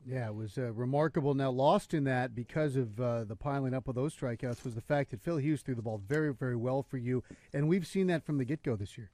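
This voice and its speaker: A man's voice: medium pitch, fairly quick, hardly any pauses, dark tone. A man hosting a sports radio show, chatting and asking a manager leading questions.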